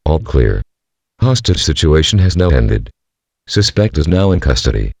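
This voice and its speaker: A man's voice: very deep voice, sing-song, normal speed, gravelly, darkish tone, slightly rough, no room sound, heavily acted. A man playing an official announcer reading a formal emergency broadcast message. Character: male emergency alert announcer reading all-clear.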